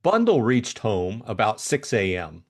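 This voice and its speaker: A man's voice: lowish voice, big pitch swings, unhurried, slightly rough. A man reading scripted story narration as an audiobook narrator.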